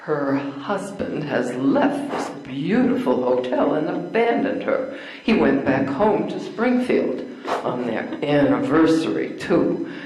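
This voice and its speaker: A woman's voice: low voice, sing-song, slow, a little mellow, slight background noise, full character voice. An older woman playing an opinionated spinster schoolteacher in an amateur readers theater play, emphatic and matter-of-fact. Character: opinionated spinster schoolteacher, a suffragist, bluntly advising. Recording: echoey room.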